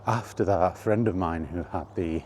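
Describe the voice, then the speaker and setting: A middle-aged man with a low, mellow voice rambles casually to his viewers. He takes his time, halting and thinking aloud in short phrases, with faint outdoor noise behind him. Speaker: video creator and host. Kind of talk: casual reminiscing monologue about retro games. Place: outdoors while walking.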